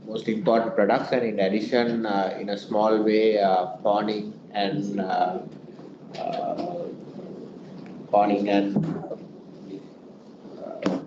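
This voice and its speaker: A man giving an unscripted business answer as an executive, halting and repeating phrases. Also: on the low side. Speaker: bank executive. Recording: muffled, echoey, noisy call audio.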